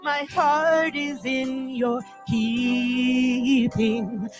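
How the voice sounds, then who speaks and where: High-voiced woman singing slowly over music. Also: steady tone. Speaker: singer. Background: musical accompaniment.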